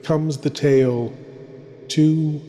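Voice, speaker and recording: A man playing a sinister, theatrical horror host lord, speaking in pompous, deliberate, intimate phrases to introduce scary tales. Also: fairly high pitch, fairly even intonation, warm tone. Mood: ominous. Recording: long hall reverb.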